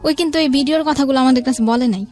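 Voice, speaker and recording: A woman's voice: sits high, very fast, darkish tone. A young adult woman with a Bangladeshi accent giving an earnest personal account as a radio guest, often quoting dialogue. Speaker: interview guest, relative telling a family's story. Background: faint music.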